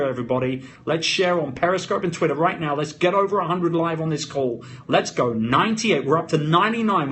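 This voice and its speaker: A man with a London accent hosts an off-the-cuff motivational live stream. His voice sits a little high and he speaks in emphatic, punchy phrases. The recording is a slightly noisy phone stream. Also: fairly bright tone. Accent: London British. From London, England.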